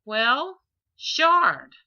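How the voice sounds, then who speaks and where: Woman playing young father, exasperated, protective, hesitant, slow delivery, bright tone, audiobook character. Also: high-pitched, very animated, highs cut off, voice pushed up, heavily acted. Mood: tense, awkward. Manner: hesitant, earnest.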